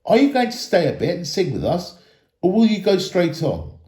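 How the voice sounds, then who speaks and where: Man as a young elf guide, storybook dialogue, welcoming, courteous, animated intonation, roomy echo. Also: high voice, normal speed, pitched above the performer's own voice. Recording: echoey room.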